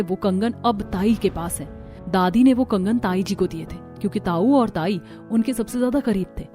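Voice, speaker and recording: A woman's voice: medium pitch, warm tone, pitched below the performer's own voice, lightly acted. A woman voicing an assertive, accusing, determined daughter in a cartoon story. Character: firm, determined daughter confronting parents. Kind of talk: Hindi cartoon story acting.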